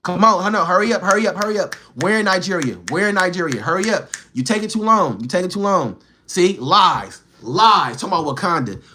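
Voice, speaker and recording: A man's voice: sits high, expressive, fairly quick, few pauses, sharp tone. A man livestreaming, bantering with and teasing his chat in slangy street talk, firing challenging questions.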